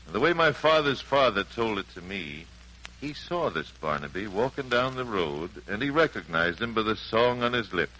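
Bright-voiced folksy American storyteller. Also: male voice, lowish voice, big pitch swings, normal speed, a little hiss or hum, rough recording. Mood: homespun, storytelling. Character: folksy storyteller passing down family tales. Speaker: narrator. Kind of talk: 1940s radio storytelling narration. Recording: old 1940s radio broadcast.

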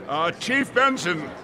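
An actor playing a placating small-city mayor in a radio drama, halting and formal as he addresses citizens. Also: extremely high pitch, exaggerated voice. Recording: noisy outdoor street scene.

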